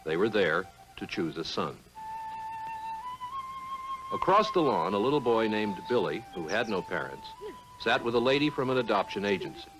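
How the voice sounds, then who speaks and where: A man narrates a film in a formal, measured storytelling manner. His voice sits on the low side but moves expressively up and down, with music playing clearly behind it. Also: big pitch swings, low-quality recording.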